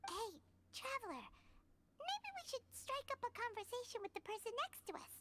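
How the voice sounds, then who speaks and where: Squeaky, excitable little fairy companion. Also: female voice, normal speed, a little hiss or hum, exaggerated voice. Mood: awkward, indignant. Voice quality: squeaky, childlike. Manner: refers to herself in third person, exclaims a lot. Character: small excitable childlike female fairy companion, chatty, easily flustered. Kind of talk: animated game character acting.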